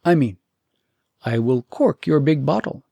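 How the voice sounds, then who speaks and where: A man's voice: on the high side, expressive, a little slow, vocal fry, warm tone, slightly rough, no echo, lo-fi sound, heavily acted. Man playing a musing, nonchalant young prince. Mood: cheeky nonchalance. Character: lovelorn, self-sacrificing young fairytale prince, nonchalant. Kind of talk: storybook character acting.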